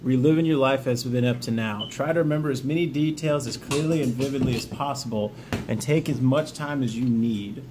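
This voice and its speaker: A man's voice: mid-range voice, brisk, noisy background. A man giving informal, encouraging instructions to viewers, partly from notes, in a plainspoken way. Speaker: veteran hosting a self-help video series. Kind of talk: instructional talk to camera, reading from notes.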